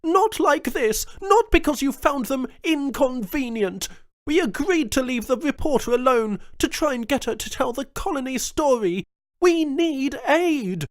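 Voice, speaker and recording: A man's voice: mid-range voice, fairly even intonation, a little slow, dry recording, clean studio sound, close to an everyday voice. A man voicing a furious, commanding female colony governor who leads pirates. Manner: forceful, commanding.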